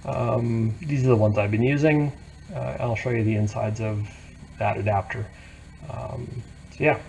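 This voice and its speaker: A man with a creaky, gravelly voice walks through a hands-on tutorial off the cuff. He is plain-spoken and blunt, talking casually. Faint ambient noise sits behind him. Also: medium pitch, fairly even intonation, measured pace, darkish tone, rough recording. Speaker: DIY tutorial presenter. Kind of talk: casual unscripted how-to explanation.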